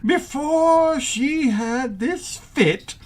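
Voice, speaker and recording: A woman's voice: high voice, lively intonation, drawn-out words, darkish tone, exaggerated voice. A woman in a radio play acting as a dithering, easily amused King who stammers and hesitates. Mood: giggly, pleased.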